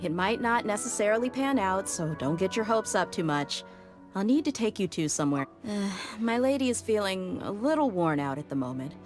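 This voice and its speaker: A medium-pitched, plain-spoken female bodyguard in a video game played by a woman. She is casual and a little awkward, with lively inflection. Music is clearly heard behind her. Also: a little fast, close to an everyday voice. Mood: earnest, guarded. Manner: casual, slightly awkward, guarded. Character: plain-spoken young female bodyguard, gruff but loyal. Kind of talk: English video game voice acting.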